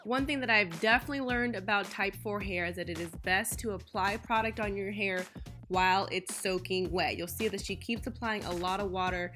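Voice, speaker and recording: A woman's voice: medium pitch, brisk, over music. A woman vlogger narrating a salon visit in a casual, explanatory voice-over.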